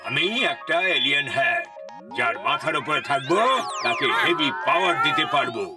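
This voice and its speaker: A man voicing a magical talking alien hat in a cartoon, making slow, solemn pronouncements. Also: on the high side, distant mic, over loud music, close to an everyday voice. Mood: solemn, commanding. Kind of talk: cartoon voice acting.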